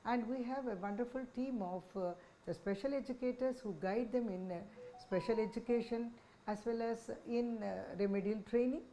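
A woman in her sixties with an Indian accent, speaking as a senior psychiatrist in a measured, explanatory talk to camera. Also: on the high side, dark tone, a little hiss or hum, low-quality recording. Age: 60s. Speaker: senior child psychiatrist, head of department. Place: small office, indoors. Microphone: lapel microphone.

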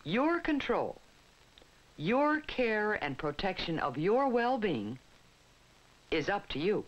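Lively American woman narrating an old educational film. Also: medium pitch. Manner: measured, instructive, emphatic phrasing. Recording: dull 1960s film soundtrack with music. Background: prominent music.

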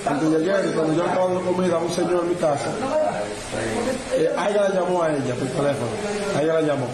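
A man's voice: fairly high pitch, fairly even intonation, quick pace, over a noisy crowd. A man in his thirties with a Dominican Spanish accent, lying injured in a hospital bed and telling a reporter what happened, repeating words and restarting often. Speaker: interviewee. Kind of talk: rambling account to a reporter. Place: hospital room, indoors. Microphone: phone held close to the face. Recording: roomy with loud background noise.

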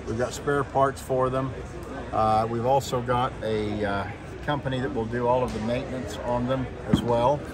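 Older man over loud background noise. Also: medium pitch, fairly even intonation, normal speed. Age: 60s. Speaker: exhibitor demonstrating machinery. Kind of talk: plain product demonstration talk. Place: large indoor expo hall booth. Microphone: handheld camera at arm's length or further. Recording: very noisy trade show floor.

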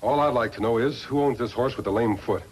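Man playing a calm, commanding western hero. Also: medium pitch, natural voice. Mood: firm, calm. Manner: crisp, deliberate, authoritative. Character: upright masked lawman hero, calm and commanding. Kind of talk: 1950s radio western acting. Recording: noisy vintage 1950s radio recording.

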